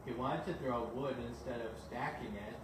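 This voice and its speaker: A man's voice: medium pitch, normal speed. Male storybook reader in a very echoey room. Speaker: storyteller reading children's bedtime stories. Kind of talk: read-aloud children's storybook narration. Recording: very echoey, distant mic, noisy background.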